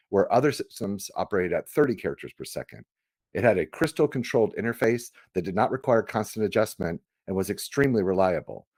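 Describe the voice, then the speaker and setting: A man's voice: fairly low pitch, a little mellow, breathy edge, no echo. A man reading scripted audiobook narration. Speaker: audiobook reader.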